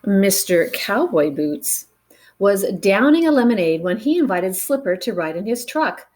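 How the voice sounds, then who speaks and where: A woman reading a picture book aloud to children in an expressive way, with dramatic pauses and chatty asides to the listeners. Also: medium pitch. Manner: animated, dramatic pauses, engages young listeners with questions.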